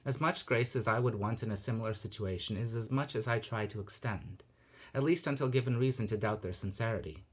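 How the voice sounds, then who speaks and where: A man raised in Nigeria and the United States reading his own reflective personal essay in a measured, earnest manner. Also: lowish voice. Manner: measured, earnest, thoughtful delivery.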